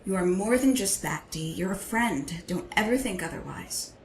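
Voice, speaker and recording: Sassy female team leader, acted by a woman, mid-pitched, blunt, familiar, echoey room. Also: expressive, moderate pace, close to an everyday voice. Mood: frank, friendly. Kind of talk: fan audio drama acting. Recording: echoey room, faint noise.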